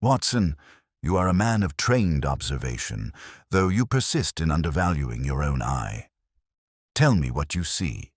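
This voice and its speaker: Male reader as keen master detective: very deep, crisp, imperious, precise, dry studio sound. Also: very animated, a little slow, no echo, heavily acted. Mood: intent. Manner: formal, precise, emphatic, lecturing. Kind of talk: audiobook detective story dialogue.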